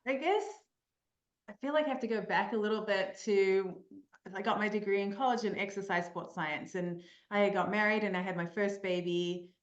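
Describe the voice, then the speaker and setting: A woman's voice: medium pitch, moderate pace. A woman in her forties with a New Zealand accent, chatting as a podcast guest with frequent ums and easy laughter. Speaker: podcast guest, mental performance coach. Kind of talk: casual interview chat. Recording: dull lo-fi sound in an echoey room.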